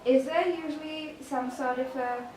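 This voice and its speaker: A woman's voice: high voice, steady tone, a little slow, darkish tone. A young woman acting as a student interviewer, asking questions in a casual, conversational way. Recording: very echoey, distant microphone, faint noise.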